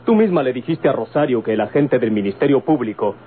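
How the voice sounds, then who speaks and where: An actor playing a stern, calculating gentleman with a Mexican accent, deliberate and insistent, in an old radio drama. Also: medium pitch, animated, husky. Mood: stern, pressing. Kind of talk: golden-age Mexican radio drama acting. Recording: tinny, noisy old radio recording.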